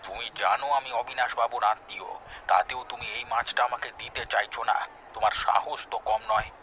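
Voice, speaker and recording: A man playing a pushy, arrogant village gentleman in a cartoon, haughty and bullying. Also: mid-range voice, steady tone, a little fast, a little hiss or hum, natural voice. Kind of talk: Bengali cartoon acting. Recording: dull lo-fi track, music behind.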